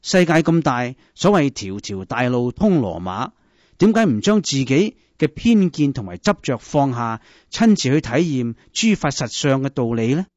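A man with a Hong Kong Cantonese accent narrating scripted Buddhist stories in a measured, instructive way. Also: mid-range voice, very animated, darkish tone, dry recording, dull audio.

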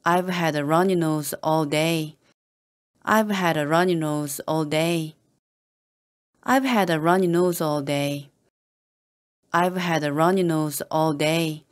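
A woman reading short patient sentences slowly and clearly, repeating each one the same way for learners to copy. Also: sits low. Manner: calm, even and carefully spoken, each sentence said the same way again and again. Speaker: English teacher reading model sentences. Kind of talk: slow, deliberate repeated phrases for learners to copy.

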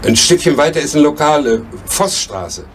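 An actor playing a hesitant, apologetic man on a phone call in a 1970s radio play, speaking haltingly. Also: fairly high pitch, big pitch swings. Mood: uneasy, apologetic. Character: hesitant, apologetic man phoning a doctor for help. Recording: noisy old radio play recording.

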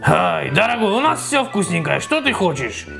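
A man's voice: sits high, big pitch swings, normal speed, vocal fry, over music, heavily acted. A man voicing a pushy, effusive toy snack cook with wheedling, sing-song sales patter.

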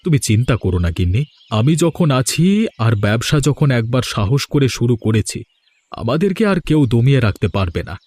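A man's voice: mid-range voice, very animated, brisk, darkish tone, husky, dry recording. A man voicing a proud, hard-working village husband in a cartoon, with an emphatic, declamatory delivery. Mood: reassuring. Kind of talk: cartoon voice acting.

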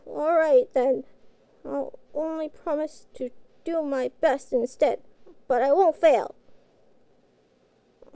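A woman playing a childlike, guilt-ridden catgirl pet in a fantasy audio roleplay, speaking in halting, pleading, naive phrases. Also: sits high, mellow, no room sound, full character voice. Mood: earnest, unsure.

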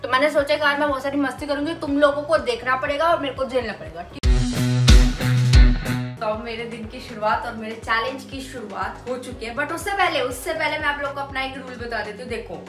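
A woman's voice: sits high, a little fast. A young woman in her twenties chatting playfully to camera in a small home kitchen, self-mocking and chatty. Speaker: vlogger. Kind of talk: casual vlog chat to camera. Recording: echoey kitchen with music underneath.

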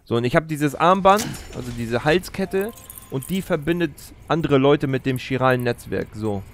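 A man's voice: medium pitch, animated, moderate pace, a little hiss or hum. A man in his thirties giving casual, explanatory gameplay commentary into a close streaming mic. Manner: explanatory, chatty. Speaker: let's play host. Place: indoor streaming setup. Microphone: close streaming mic at gaming chair.

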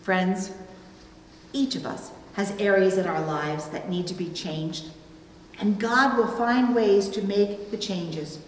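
A middle-aged woman preaching a sermon from a pulpit, with deliberate, emphatic phrasing and pauses. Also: mid-range voice. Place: indoors at a church pulpit. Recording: roomy, reverberant church, distant, faint noise.

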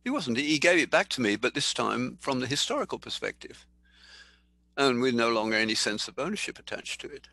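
An older man giving a sermon in a thoughtful, conversational way, slowing down to read scripture aloud. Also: medium pitch, expressive, sharp tone, no echo. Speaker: preacher. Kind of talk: sermon teaching.

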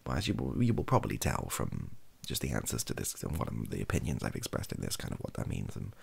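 A young British man, softly spoken, rambling hesitantly through an opinion monologue in a gravelly, husky voice and often trailing off. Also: a bass voice, huge pitch swings, fast. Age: young adult. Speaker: ASMR YouTuber. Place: small home room, indoors.

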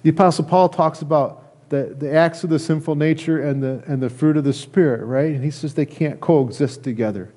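A middle-aged American man giving a sermon as a pastor, speaking earnestly and haltingly, often repeating phrases. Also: a little high, warm tone. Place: church sanctuary, indoors at a lectern.

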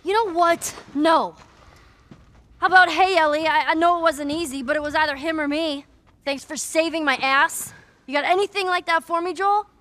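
A woman's voice: high-pitched, normal speed, fairly bright tone. A feisty, foul-mouthed teen girl. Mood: sarcastic. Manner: feisty, sarcastic.

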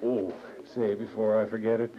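A man's voice: on the low side, level tone, moderate pace, natural voice. An actor playing a sociable, married churchgoing man in a radio drama. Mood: chatty. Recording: muffled radio drama, crowd chatter behind.

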